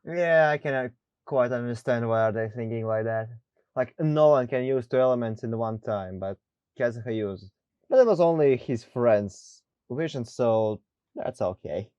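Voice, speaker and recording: A man's voice: medium pitch, lively intonation, normal speed, a little mellow, clean tone, no echo. A man giving casual let's play commentary, with non-native English phrasing, recorded close on a dull microphone. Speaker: gaming YouTuber.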